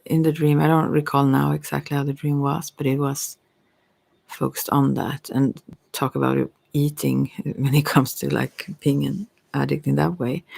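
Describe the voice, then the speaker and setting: Creaky asmr host, androgynous, close dry sound, kinda rambling and thoughtful. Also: mid-range voice, a little mellow, dry recording. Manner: hesitant, meandering, reflective. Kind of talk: ASMR, rambling personal talk.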